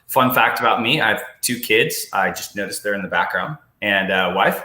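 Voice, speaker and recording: Low bright man in an echoey room. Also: expressive, moderate pace. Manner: hesitant, many fillers. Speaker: sales executive, panelist. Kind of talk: self-introduction on a panel. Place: home. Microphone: video call.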